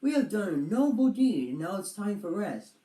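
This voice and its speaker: Storybook boy adventurer: earnest, gentlemanly, pompous, animated delivery with some room echo, acted by a narrator. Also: medium pitch, normal speed, lightly acted. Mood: proud. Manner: pompous, proper.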